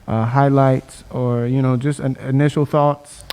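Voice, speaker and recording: A man's voice: mid-range voice, flat delivery, unhurried, mellow, a little hiss or hum. A young man hosting a casual podcast in an informal, joking, bantering way. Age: young adult. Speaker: podcast host.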